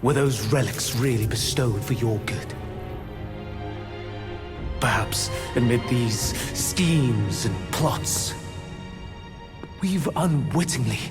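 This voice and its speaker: Slow-speaking, silver-tongued scheming immortal. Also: male voice, mid-range voice. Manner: grave, insinuating, formal, theatrical. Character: scheming, silver-tongued male immortal wooing a married woman. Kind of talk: dramatic video game cutscene acting. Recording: voice under prominent music.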